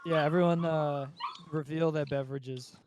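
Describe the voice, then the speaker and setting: A man's voice: a little high, fairly even intonation, normal speed, very noisy. A young man with an Australian accent hosting a casual group podcast chat. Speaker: podcast host.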